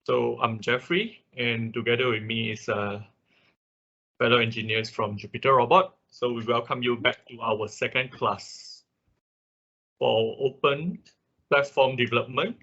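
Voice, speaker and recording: A man giving an informal online class as an instructor, speaking haltingly with a husky voice and frequent repeats. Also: medium pitch, fairly even intonation, bright, forceful tone. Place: online video call. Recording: dull lo-fi online meeting audio.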